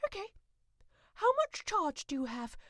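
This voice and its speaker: Very high, bright, resourceful heroine, played by a woman. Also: unhurried, dry recording, studio quality, heavily acted. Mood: urgent. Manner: expressive, thinking aloud, commanding. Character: determined, resourceful, affectionate woman adventurer. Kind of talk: audio drama acting.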